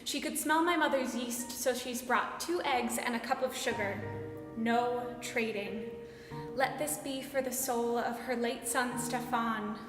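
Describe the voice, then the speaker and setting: A young woman performing a dramatic storytelling monologue on stage in deliberate, emphatic, clipped phrases. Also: a little high, a bit bright. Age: young adult. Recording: echoey hall with long reverb. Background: music.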